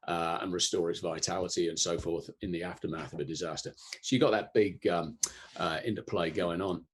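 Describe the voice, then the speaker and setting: A European man answering interview questions in a thoughtful, explanatory way, pausing often with ums and restarts. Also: low-pitched, slightly rough. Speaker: guest, disaster resilience expert.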